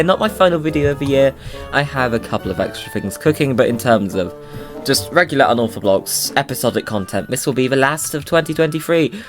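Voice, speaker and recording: A man with a slight cold chats through his Minecraft clips in a casual, playful way. His voice sits at a middling pitch and now and then bounces into silly sing-song bits. Soft music plays faintly underneath. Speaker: Minecraft YouTuber. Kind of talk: casual gameplay commentary.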